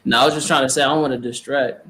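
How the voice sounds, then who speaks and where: A man's voice: medium pitch, steady tone, rapid-fire, a bit bright, slight background noise. A man hosting a casual podcast over a video call, talking in a laid-back, slangy way and often asking "you feel me". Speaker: podcast host.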